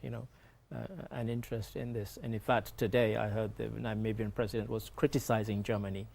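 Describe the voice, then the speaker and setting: A man's voice: lowish voice, flat delivery, fairly quick, vocal fry, darkish tone, no room sound. A man talking conversationally as a morning show panelist, explaining current affairs and giving his opinions.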